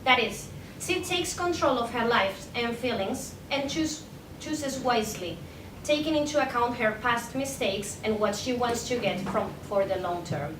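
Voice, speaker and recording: A young woman reads an academic paper aloud at a conference, carefully, with occasional stumbles and self-corrections. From Spain. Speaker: PhD candidate presenting a paper. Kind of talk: academic conference talk, read from a prepared script. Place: indoor room at an academic conference. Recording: echoey room, distant mic, faint rumbling noise.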